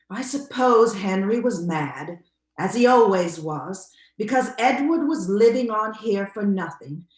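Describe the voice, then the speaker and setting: An actress playing a stately, probing married sister in an old-time radio drama. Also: female voice, mid-range voice, animated, slow, echoey room. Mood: probing, uneasy.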